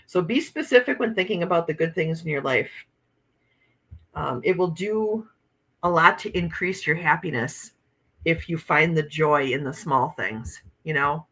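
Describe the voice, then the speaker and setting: A woman's voice: deep voice, measured pace, fairly bright tone, husky, low-quality recording. A woman in her fifties hosting a casual livestream, chatting and laughing as she reads out viewer comments. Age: 50s. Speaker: livestream host.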